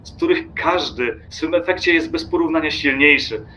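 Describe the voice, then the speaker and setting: An actor playing an irritable, contemptuous train passenger in an audio drama, lecturing obsessively and emphatically. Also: male voice, on the high side, moderate pace, pushed and bright, a little hiss or hum, rough recording. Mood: irritated, emphatic.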